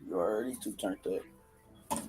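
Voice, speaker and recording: A man joining a podcast video call as a contender, easygoing and quick to laugh. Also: fairly low pitch, a little mellow, faint music behind. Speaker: tournament contender. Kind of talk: casual video-call chat.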